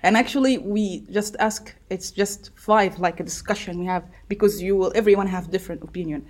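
A woman's voice: mid-range voice, fast, a little mellow. A woman podcast host interviewing children in a casual, encouraging way with simple, hesitant phrasing.

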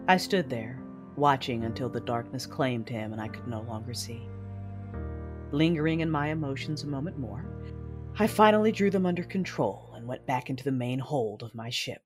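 A woman acts out a grieving female lord of a space saga. Her voice is low and commanding, telling her own story in dramatic audio drama narration over clear background music. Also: lively intonation, moderate pace. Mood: somber.

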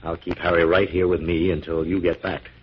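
An actor playing a confident, skeptical, protective detective in 1940s radio style, brisk and authoritative. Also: male voice, sits low, little pitch movement, breathy edge. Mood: firm, reassuring. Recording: muffled, noisy 1940s radio recording.

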